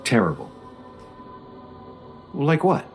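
A man's voice: mid-range voice, wildly swooping pitch, faint music behind. A man playing a patient, probing doctor who conducts a recorded interview in an audio drama. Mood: gently insistent. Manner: measured, gently prodding, clinical.

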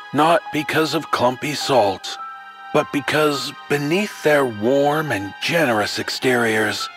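A man playing a genial, darkly sly TV storyteller uncle, telling a horror tale in theatrical, drawn-out phrases with coy asides. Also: on the low side. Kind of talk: old-time TV horror storytelling.

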